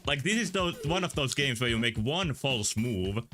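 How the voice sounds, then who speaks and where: Bright-voiced man streaming a game. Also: medium pitch, expressive, moderate pace. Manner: rambling, thinking aloud with fillers. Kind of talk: casual game stream commentary. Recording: faint game music behind.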